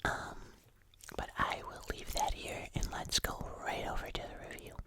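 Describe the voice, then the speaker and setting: An ASMR host speaking in a breathy, unvoiced whisper, chatting casually as if reviewing food for viewers. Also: moderate pace.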